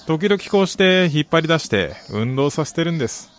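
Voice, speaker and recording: A man's voice: on the high side, sing-song, brisk, faint music behind. An actor playing an affable man in his forties in a radio drama, easygoing and folksy in his talk. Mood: friendly, easygoing. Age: 40s. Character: affable fortyish man, dog owner and grumbling football fan.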